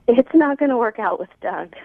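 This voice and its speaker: A woman talking casually as a guest on a radio phone call. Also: fairly high pitch. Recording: dull phone line, voices behind.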